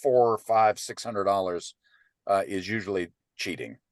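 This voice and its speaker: A man's voice: sits low, a little slow, no room sound, clean studio sound. A man presenting an online webinar as a ranch business advisor, explaining in an informal way and checking in with listeners. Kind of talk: teaching webinar, conversational explanation. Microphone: computer microphone on an online webinar call.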